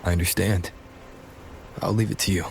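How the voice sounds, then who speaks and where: A man voicing a calm, resolute young hero in a video game, earnest and understated in his delivery. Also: low-pitched, normal speed, gravelly, slight background noise. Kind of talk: scripted video game voice acting.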